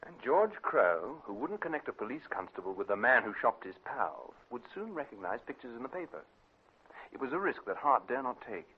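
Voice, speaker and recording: Man acting a clipped, animated British detective. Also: medium pitch, brisk, phone-quality sound, slight background noise, close to an everyday voice. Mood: explanatory. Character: shrewd, unruffled male private detective. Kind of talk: classic British radio drama acting. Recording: band-limited vintage radio recording.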